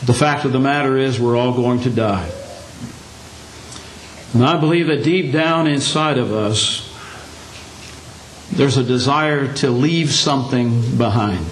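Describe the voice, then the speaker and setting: An older man preaching a Sunday lesson, his voice hoarse and scratchy, speaking in a plain folksy way with a touch of humor. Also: mid-range voice, unhurried, pauses often. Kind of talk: sermon. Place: church meeting room. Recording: echoey room.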